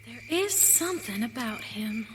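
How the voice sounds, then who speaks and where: A woman's voice: fairly high pitch, lively intonation, measured pace, noisy background. A woman playing a hopeful, wistful pioneer in a western radio play, speaking in folksy American frontier dialect. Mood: wistful, admiring. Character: Jean, hopeful young pioneer woman, wistful and gentle-natured. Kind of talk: live western radio theater acting.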